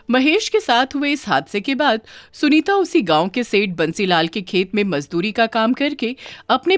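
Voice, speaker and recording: A woman's voice: medium pitch, dry recording, clean studio sound. A woman reading a scripted children's moral story as its narrator.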